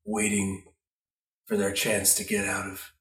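Halting male narrator in an echoey room. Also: fairly low pitch, little pitch movement, gravelly, a bit bright, recorded from a distance. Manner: rambling, halting, trailing off. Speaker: narrator of an improvised radio play. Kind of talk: improvised story narration.

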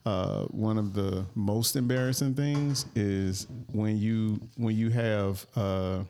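A man's voice: fairly low pitch, fairly even intonation, slow pace, mellow, slight background noise. A man co-hosting a podcast, talking in blunt, profane casual chat and laughing through his stories. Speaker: podcast co-host.

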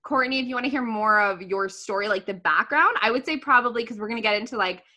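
A woman's voice: a little high, fast. A woman in her twenties hosting a podcast, chatting casually, swearing freely and laughing often as she tells stories. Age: 20s. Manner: swears freely, laughs a lot, animated storyteller. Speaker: podcast host.

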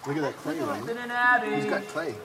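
A man's voice: a little high, very animated, a little fast. A man chatting casually with his friends in a field recording. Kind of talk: casual chat among friends. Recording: outdoor field recording, distant, loud music.

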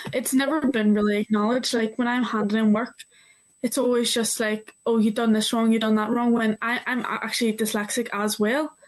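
A woman's voice: on the high side, no room sound, rough recording. A young woman from a youth forum, speaking casually and plainly to a committee. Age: young adult. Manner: casual, plain-spoken, uses "like" often. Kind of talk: briefing to a committee.

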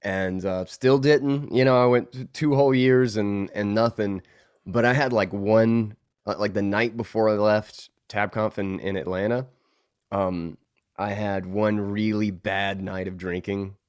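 A man's voice: fairly low pitch, fairly even intonation, unhurried, darkish tone, smooth, no room sound, studio quality. A man chatting as a podcast guest, stuffed up from a recent illness, telling stories with lots of fillers and laughs. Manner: rambling, laughing storyteller with many fillers. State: congested, recovering from illness. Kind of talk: casual interview chat.

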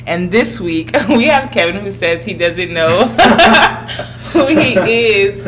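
A woman's voice: mid-range voice, very noisy. Chatty woman hosting a podcast. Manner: lively, chatty, laughs often, quick interjections. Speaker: podcast host. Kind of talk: casual podcast interviewing.

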